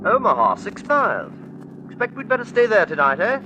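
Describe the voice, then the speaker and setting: A chatty, pompous British gentleman on a 1940s radio drama. His high voice swings up and down with fussy heartiness. The old recording is muffled, with clear noise. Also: wildly swooping pitch, fairly quick. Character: chatty, snobbish English gentleman traveller with pompous cheer.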